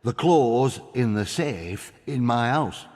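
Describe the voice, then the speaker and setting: A man's voice: mid-range voice, lively intonation, slow pace, a little mellow, long reverb tail. A man playing an anxious, blustering village mayor with a Northern English accent and folksy, dramatic manner in a video game cutscene. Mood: confiding. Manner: dramatic, exclamatory, folksy dialect.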